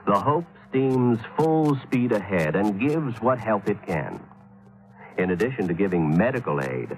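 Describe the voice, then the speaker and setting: Low-voiced earnest radio announcer man, expressive pitch. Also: poor audio. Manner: measured, earnest, formal. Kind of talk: scripted public service narration. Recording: vintage radio broadcast.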